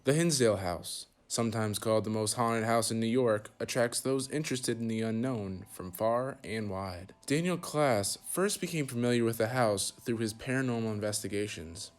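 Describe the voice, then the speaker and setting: A man's voice: on the low side, normal speed, few pauses. A man reporting as a student TV news journalist, reading scripted narration.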